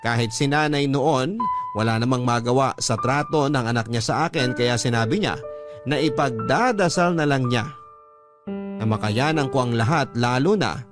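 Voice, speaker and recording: A man's voice: mid-range voice, moderate pace. A Filipino man reading a scripted first-person story as a radio drama narrator. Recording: music bed under narration. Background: instrumental music.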